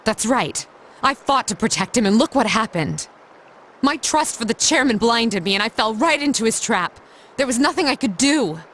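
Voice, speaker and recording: A woman's voice: on the high side, fairly bright tone, a little hiss or hum. A woman voicing a proud, reserved young noblewoman in an anime game, speaking formally and with measure. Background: music.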